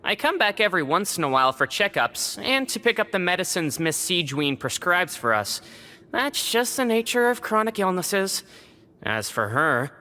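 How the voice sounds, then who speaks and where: A woman's voice: lowish voice, bright, forceful tone. A woman playing a sardonic, chronically ill prisoner in a game, with a dry, blunt, needling manner. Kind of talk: English game dub acting.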